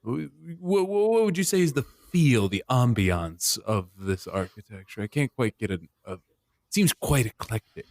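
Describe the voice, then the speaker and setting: A man's voice: medium pitch, animated, normal speed, darkish tone, no echo, clean studio sound. A man playing a tabletop game in character, talking in a pompous, lecturing, theatrical way.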